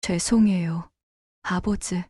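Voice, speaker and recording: A woman's voice: on the low side, steady tone, slow pace, mellow, dry recording. A woman voicing a meek, anxious grown daughter apologizing hesitantly in a dramatized story. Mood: contrite, meek.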